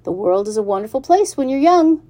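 A woman voicing a chattering female goose who talks fast and repeats her words in quick bursts. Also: sits high, sing-song, warm tone, pitched above the performer's own voice. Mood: excited.

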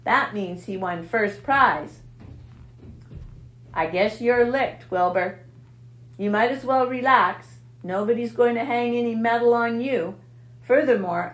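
A woman voicing a gluttonous, sneering male rat with a husky, snarling voice, recorded in an echoey room. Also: a little high, natural voice. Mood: taunting. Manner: drawn-out, gloating, snarling.

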